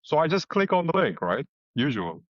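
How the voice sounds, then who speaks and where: A man's voice: on the high side, normal speed, no echo, lo-fi sound. A man from Turkey speaking English as a second language with a Turkish accent, a podcast guest telling stories with easy laughter over a remote call. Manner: lively storyteller, laughs readily, plain wording. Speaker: podcast guest, crypto strategist. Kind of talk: casual podcast chat. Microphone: remote video call.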